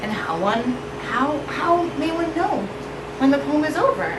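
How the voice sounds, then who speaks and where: Woman poet, live reading, expressive delivery, fairly high voice, roomy echo, noisy background. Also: measured pace. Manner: expressive, shifting pitch and pace for effect. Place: evening reading event, Brooklyn. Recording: roomy echo from far off with noise.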